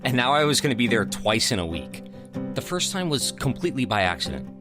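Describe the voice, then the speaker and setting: An actor playing an eager, self-assured young aspiring theater director in an audio drama, earnest and conversational. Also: male voice, mid-range voice, sing-song, brisk, over music, close to an everyday voice. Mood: confiding, eager. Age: young adult. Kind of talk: audio drama acting with confiding narration.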